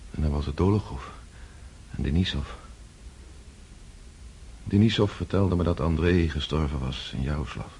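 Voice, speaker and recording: A reflective nobleman in a radio play, speaking low and earnest. He weighs his thoughts carefully, turned inward after hard times, with faint hiss behind. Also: moderate pace, pauses often, a little mellow. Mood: weary. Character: reflective male nobleman recovering from war. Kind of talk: radio drama acting.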